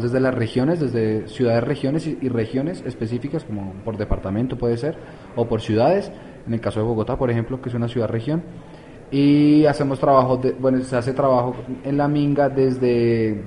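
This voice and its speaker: A man's voice: mid-range voice, dark tone, slight background noise, low-quality recording. A man from Colombia, answering interview questions as a youth activist, hesitant with many fillers and restarts.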